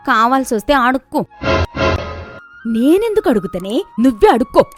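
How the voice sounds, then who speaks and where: A woman playing a combative village in-law in a comic cartoon, scolding and taunting in Telangana Telugu. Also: high-pitched. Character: bickering village mother-in-law and daughter-in-law, brash and combative. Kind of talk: animated comic story dialogue. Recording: music behind the voice.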